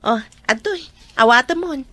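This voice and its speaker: A woman's voice: high-pitched, animated, moderate pace, dry recording, heavily acted. A woman playing a cheerful, chatty best friend in a radio drama. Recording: soft music underneath.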